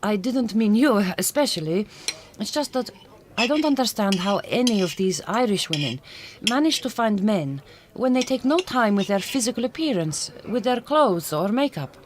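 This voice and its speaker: A woman's voice: mid-range voice, animated, normal speed, hardly any pauses, background noise, close to an everyday voice. An actress playing a proud, reserved middle-aged Hungarian woman in a radio drama, with a Hungarian accent and careful, formal phrasing. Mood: frank, proud. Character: glamorous, proud, reserved Hungarian migrant woman, grieving mother.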